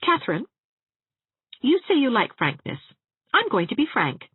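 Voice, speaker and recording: Eager young teacher read by a woman, husky and tinny, phone-like sound. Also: a little high, huge pitch swings, normal speed, no room sound, voice pushed up, exaggerated voice. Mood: frank. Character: earnest, frank young woman schoolteacher.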